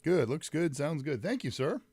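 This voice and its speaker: A man's voice: on the high side, sing-song, moderate pace, darkish tone, slightly rough, no echo. Man hosting a tech livestream with a cold. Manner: rambling, greets chat viewers by name, frequent fillers. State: slightly deep, congested voice from a summer cold. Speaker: livestream host. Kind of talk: casual live-stream chat with viewers. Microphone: mic set some distance away.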